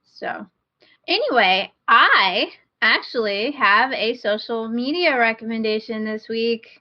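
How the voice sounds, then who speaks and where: A woman's voice: a little high, lively intonation, slow, no echo, dull audio. A woman co-hosting a podcast in casual, informal, self-deprecating chat. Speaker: podcast co-host.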